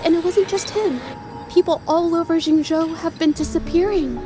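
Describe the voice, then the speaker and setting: A woman's voice: very high-pitched, normal speed. A woman voicing an anxious, earnest young girl in an anime-style video game. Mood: worried. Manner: earnest, hesitant. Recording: background music under the voice.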